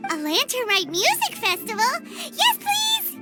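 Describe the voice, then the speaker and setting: A woman voicing a tiny, excitable, blunt floating fairy companion in a video game, with a squeaky childlike voice. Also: a little slow, loud music behind the voice, full character voice. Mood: thrilled. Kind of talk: video game cutscene acting.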